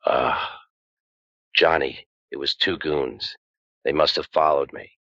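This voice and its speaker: Man acting a very deep sardonic private eye. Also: takes it slowly, fairly bright tone, no room sound, muffled recording, heavily acted. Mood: weary. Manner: dry, wisecracking, cynical. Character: sardonic, beaten-up male waterfront private eye, world-weary. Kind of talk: hard-boiled radio noir acting.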